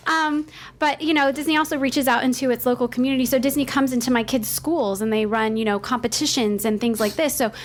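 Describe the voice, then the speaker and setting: High-voiced, enthusiastic woman chatting. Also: steady tone, brisk, a little hiss or hum. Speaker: podcast panelist. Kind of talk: casual chat.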